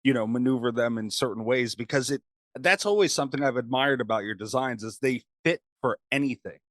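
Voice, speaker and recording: A man's voice: mid-range voice, brisk, fairly bright tone, dry recording, clean studio sound. A man hosting a podcast, chatting casually as he interviews a guest.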